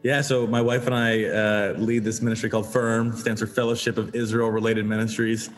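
A man's voice: on the low side, level tone, a little fast, soft background music. A young man with a Canadian accent, a ministry leader explaining his work earnestly in a casual interview. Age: young adult. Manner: earnest, explanatory, with frequent fillers.

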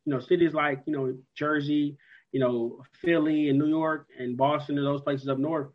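A man's voice: a little high, fairly even intonation, moderate pace. A man hosting a casual chat, talking in an easygoing, chatty way. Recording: dull lo-fi sound.

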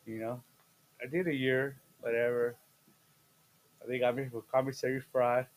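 A man in his fifties, a guest chatting casually on a podcast, playful and jokey, with sudden loud bursts and laughs mid-sentence. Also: mid-range voice, little pitch movement, moderate pace. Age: 50s. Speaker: guest, host's father.

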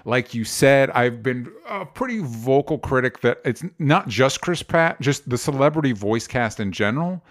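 A man with a mid-pitched voice talks through a film review as a co-host. He is casual and opinionated, argues his case emphatically and drops swear words as he goes. He often slips into imagined back-and-forth dialogue to make his point. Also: lively intonation, moderate pace, few pauses. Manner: argumentative, emphatic, acts out imagined exchanges.

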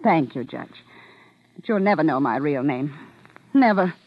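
A woman's voice: deep voice, animated, a little slow, exaggerated voice. An actress playing an evasive, determined woman in an old radio crime drama. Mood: sullen, defiant. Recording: muffled old radio recording.

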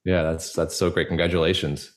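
A man's voice: low-pitched, level tone, a little fast. A man speaking casually as a podcast guest, talking about his own show. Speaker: podcast guest, actor and audio drama producer. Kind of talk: casual chat, promoting a show.

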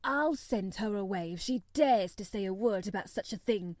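A woman's voice: a little high, sing-song, moderate pace, dark tone, no echo, studio quality. Woman voicing an imperious, spoiled little rich boy. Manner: commanding, grand. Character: imperious, spoiled, sickly young boy of wealth.

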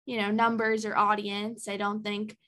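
A woman's voice: mid-range voice, little pitch movement, moderate pace, pushed and bright. A woman in her early twenties, a podcast guest talking in a chatty, casual way with many ums and likes. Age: early 20s.

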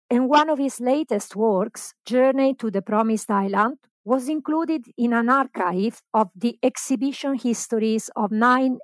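A woman hosting a podcast, giving a formal, measured introduction read from notes. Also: fairly high pitch, no echo. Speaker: podcast host. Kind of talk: scripted introduction and background narration.

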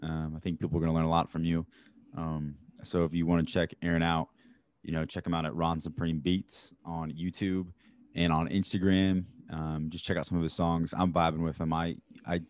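A man's voice: deep voice, level tone, moderate pace, darkish tone, clean tone, no echo. A young man hosting a podcast, chatting casually and enthusiastically with a guest and laughing often. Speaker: podcast host.

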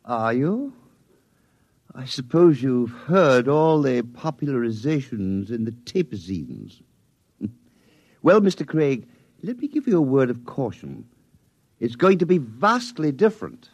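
Stern space officer, condescending and formal, pitch moves all over, dry old radio drama sound. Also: male voice, big pitch swings, takes it slowly, long pauses, a little mellow, slightly rough, no echo, heavily acted. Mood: cool, cautioning. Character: stern, condescending military psychological officer, balding man. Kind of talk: 1950s radio drama acting.

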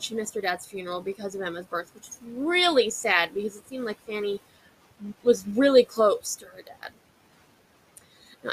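A woman's voice: medium pitch, fairly bright tone, slight background noise, poor audio. A young woman hosting a history podcast, telling the story in a casual, chatty way, full of fillers and wry asides.

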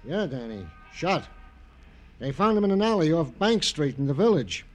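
An actor playing an eager, chummy pal with street news, breezy and colloquial, in old radio drama style. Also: male voice, fairly high pitch, sing-song, moderate pace, over loud music. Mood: earnest, newsy. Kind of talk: 1950s radio crime drama acting.

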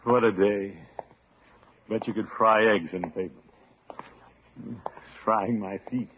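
A man's voice: fairly low pitch, fairly even intonation, a little slow, close to an everyday voice. An American man playing a pompous, exasperated middle-aged uncle in a vintage radio comedy, blustering and grumbling. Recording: vintage radio, narrow-band, noisy.

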